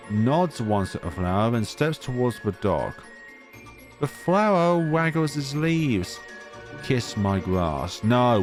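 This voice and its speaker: A man hosting a gaming video, reading a visual novel aloud and adding his own asides. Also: medium pitch. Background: music.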